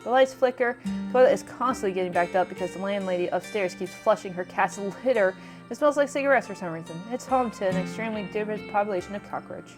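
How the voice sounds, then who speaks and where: A woman's voice: medium pitch, fast talker, music in the background, close to an everyday voice. A woman reading the part of a wry, downbeat young city dweller in a visual novel. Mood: wry, resigned.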